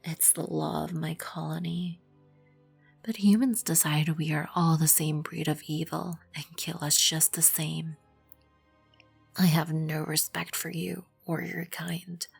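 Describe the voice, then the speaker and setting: A woman playing a proud, composed vampire queen in an ASMR roleplay, with an imperious, formal manner and a breathy, husky voice. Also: sits low, little pitch movement, unhurried, heavily acted. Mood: stern, indignant. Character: regal, composed, proud vampire queen, protective of her colony. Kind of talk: ASMR roleplay, scripted second-person acting. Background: background music.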